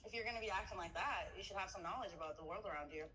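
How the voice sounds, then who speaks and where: A woman guest on a podcast panel, arguing back with quick, defiant replies. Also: medium pitch, pushed and bright, a little hiss or hum, poor audio. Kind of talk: heated debate.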